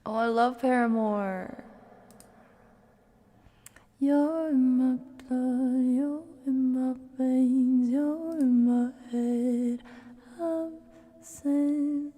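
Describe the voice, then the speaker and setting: A woman livestreamer casually singing along and making soft, playful chatty asides, sometimes in a whisper. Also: high voice, steady tone, extremely slow, long pauses. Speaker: livestreaming ASMR creator. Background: music playing from a TV.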